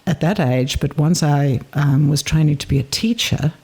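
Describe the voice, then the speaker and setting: A woman in her seventies from South Africa, reminiscing in an interview, telling her story in a reflective, measured way with pauses. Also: low voice, mellow, slightly rough. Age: 70s.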